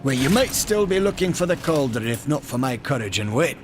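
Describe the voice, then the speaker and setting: A man's voice: mid-range voice, sing-song, fairly quick, over music, close to an everyday voice. A man playing a chatty, wily and cowardly Maker trader in a fantasy game, with a folksy, sly way of talking.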